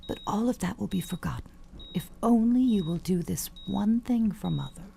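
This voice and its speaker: An actress playing an elderly, imperious, manipulative dying matriarch in an audio suspense drama, haughty and theatrical in manner. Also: female voice, medium pitch, expressive, normal speed, creaky, mellow, slight background noise. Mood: scornful, coaxing. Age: older.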